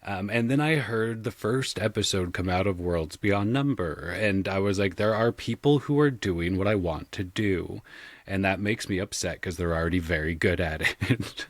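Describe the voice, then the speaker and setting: Male interview guest, very low voice, dry sound. Casual storyteller with a wry, self-deprecating manner. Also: no echo. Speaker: podcast guest, audio drama creator.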